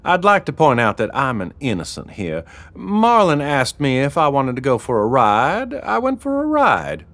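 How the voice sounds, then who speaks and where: A flustered, indignant fisherman, acted by a male narrator in an audiobook. He pleads his innocence in a raised, whiny voice that rambles and swings about in pitch. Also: huge pitch swings, moderate pace, a bit bright, no room sound. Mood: pleading.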